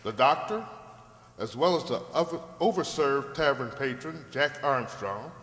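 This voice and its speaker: A man working as an announcer, reading scripted radio drama credits in a measured, formal manner. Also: mid-range voice, expressive. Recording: echoey hall, loud music behind.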